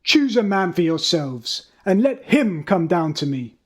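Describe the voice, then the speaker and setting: Man playing a high, husky, defiant giant warrior. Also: very animated, a little slow, a bit bright, heavily acted. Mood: defiant challenge. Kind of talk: dramatised Bible reading.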